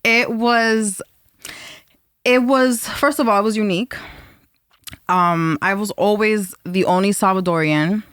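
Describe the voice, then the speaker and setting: A woman from New in her thirties, chatting as a guest in a lively way, laughing often and mixing in Spanish words. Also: medium pitch, unhurried, pauses often, smooth, no echo, clean studio sound. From New York (Dyckman, Bronx area). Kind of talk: casual chat. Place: recording studio.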